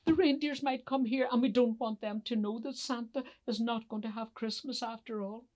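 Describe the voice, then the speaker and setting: A woman's voice: on the high side, fairly even intonation, normal speed, warm tone, voice pushed up, natural voice. A woman voicing a fretful male chief elf who pleads and repeats words in alarm, in a children's story. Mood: anxious, urgent.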